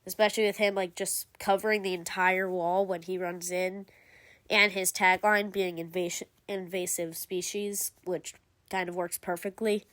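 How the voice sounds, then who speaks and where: A woman's voice: lowish voice, flat delivery, normal speed, a bit bright, dry recording. A woman giving casual voice-over commentary as a video creator, rambling with lots of "like" and frequent self-corrections. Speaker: video creator ranking game cutscenes.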